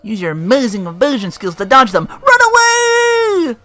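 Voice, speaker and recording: Man putting on a high, perky fairy companion. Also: big pitch swings, a little fast, a bit bright, no echo, full character voice. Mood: eager, urging. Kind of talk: reading game dialogue aloud in character. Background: faint game music.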